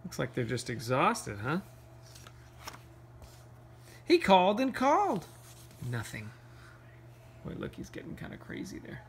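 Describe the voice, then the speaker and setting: A man reading a picture book aloud to children, playfully, pausing for casual questions and asides. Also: fairly high pitch, huge pitch swings, slight background noise. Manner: playful, pauses to ask questions. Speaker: read-aloud storyteller.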